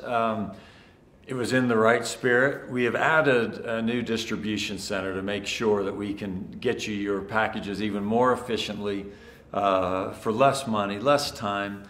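A middle-aged man, a company executive, speaks upbeat and congratulatory to sales leaders while standing before a camera in a bright office. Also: fairly low pitch, steady tone, unhurried. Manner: upbeat and congratulatory, some fillers. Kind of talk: scripted-free video address to sales leaders. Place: large bright office with tall windows, indoors. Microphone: standing before a fixed camera, two-shot. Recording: echoey room.

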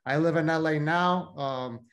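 A man's voice: on the high side, unhurried. A middle-aged man from Mexico asking a rambling, friendly question over a video call from a dim room at home. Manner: rambling, friendly, many fillers. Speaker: audience member asking a question. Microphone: laptop webcam on a video call.

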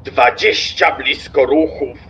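An actor playing a pompous, jovially mocking railway stationmaster in an audio drama, effusive and theatrical, with drawn-out exclamations. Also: male voice, mid-range voice, a bit bright, lo-fi sound, a little hiss or hum. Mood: amused mockery.

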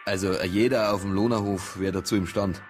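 Man playing a low-voiced Bavarian detective. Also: steady tone, a little fast, a little hiss or hum, close to an everyday voice. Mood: probing. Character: matter-of-fact male small-town police detective, probing. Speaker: actor in a radio crime drama. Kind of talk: naturalistic radio drama dialogue.

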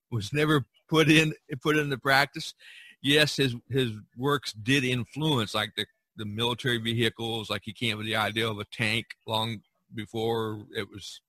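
A man's voice: medium pitch, bright, forceful tone, dry recording. A man talking casually as a podcast host, telling stories in a rambling, discursive way. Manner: rambling, storytelling. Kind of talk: casual discussion of art history.

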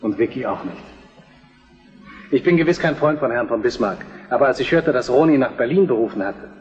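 A man's voice: medium pitch, animated, brisk, a little mellow, faint music behind, natural voice. A man plays a crown prince in a historical radio play. He is conciliatory, affectionate and reasonable in a medium register. The recording is a little dull, with long reverb. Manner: conciliatory, explaining. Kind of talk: historical German radio drama acting.

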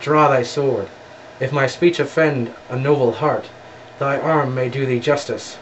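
A man playing an earnest, wronged young knight in amateur Shakespeare, measured and solemn, recorded from a distance with noise. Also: medium pitch, steady tone, lo-fi sound, close to an everyday voice. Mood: challenging. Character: earnest, noble wronged young knight challenging his brother. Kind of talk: amateur Shakespearean stage acting.

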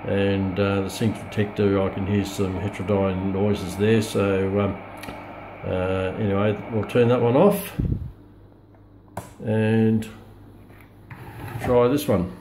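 Australian man, middle-aged, slow hesitant delivery, casual hobbyist demo, phone camera audio, heavy static noise. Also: fairly low pitch, little pitch movement. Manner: hesitant, halting, thinking aloud. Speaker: radio hobbyist presenting a video test. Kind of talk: casual unscripted product demonstration. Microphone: phone camera held back from speaker. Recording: loud radio static and hiss, phone camera. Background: shortwave radio noise.

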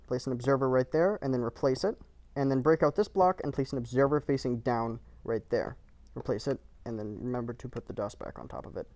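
A man's voice: medium pitch, darkish tone, dry recording. A man narrating a game build tutorial in short, clipped, matter-of-fact instructions, recorded as a voice-over.

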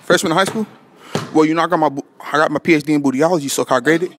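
A young American man hosting playful interviews at a high school in a casual, slangy way. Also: racing, a bit bright, slight background noise. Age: young adult. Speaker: host of street-style prank interviews. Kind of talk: casual joking public interview. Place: indoors at a high school, rooms and hallway.

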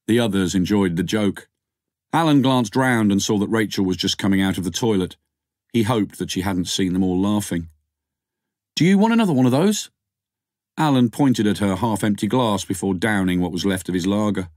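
A man's voice: low-pitched, fairly quick, darkish tone, no room sound, studio quality. A man reading scripted fiction as an audiobook narrator.

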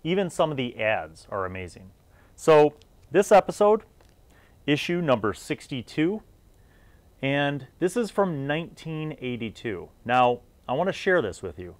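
A middle-aged man presents a retrospective review straight to viewers. His voice is mid-pitched and recorded close and dry. He talks conversationally, leaning on key phrases and letting asides trail off. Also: dry recording. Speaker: video series host.

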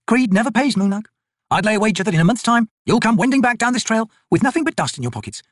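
Man voicing a blunt woman soldier, pitched way up. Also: expressive, fast, dry recording, studio quality. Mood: teasing, blunt. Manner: blunt, teasing, commanding. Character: tough, brisk woman soldier, a blunt corporal. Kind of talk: audiobook character voice.

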